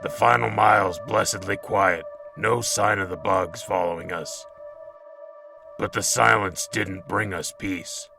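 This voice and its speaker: Low, gravelly battle-worn soldier. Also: male voice, fairly even intonation, unhurried. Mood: grave, weary. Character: weary battle-worn male soldier narrating a war log. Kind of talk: dramatic sci-fi audio drama narration and dialogue. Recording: echoey, distant, under loud music.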